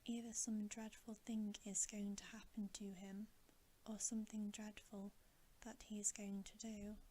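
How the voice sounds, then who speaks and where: An anxious, tender young girl in a bedtime storybook, whispered by a woman reader. She speaks earnestly in a soft, mellow whisper, unhurried, with faint noise in the background. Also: medium pitch, little pitch movement, close to an everyday voice. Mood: uneasy.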